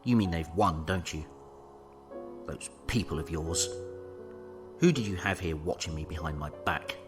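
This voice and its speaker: An actor playing a suspicious, bitter company director in a sci-fi audio drama, speaking accusingly. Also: male voice, low-pitched, animated, unhurried, music in the background.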